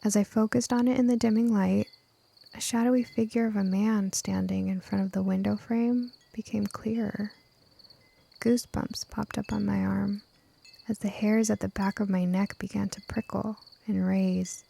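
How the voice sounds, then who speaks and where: A woman's voice: medium pitch, fairly even intonation, normal speed, gravelly, dark tone. A woman reading scripted first-person story narration as an audiobook narrator.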